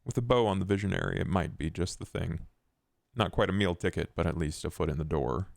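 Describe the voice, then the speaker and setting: A man plays an ambitious vampire aristocrat in a tabletop roleplay game. His voice is very low and gravelly, in a dry recording. He schemes in formal, measured, wordy phrases. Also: very animated, dry recording, exaggerated voice.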